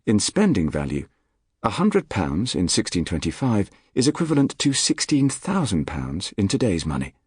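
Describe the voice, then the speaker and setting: Deep-voiced man narrating, husky and expressive. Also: no room sound, clean studio sound. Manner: measured, articulate, formal delivery. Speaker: audiobook narrator. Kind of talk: scripted nonfiction audiobook narration. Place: dry recording studio.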